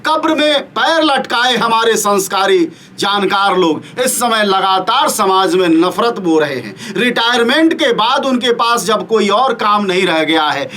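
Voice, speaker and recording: A man's voice: high-pitched, pushed and bright. A man delivering spoken opinion commentary as a news commentator, pressing pointed rhetorical questions with a sarcastic, scornful edge.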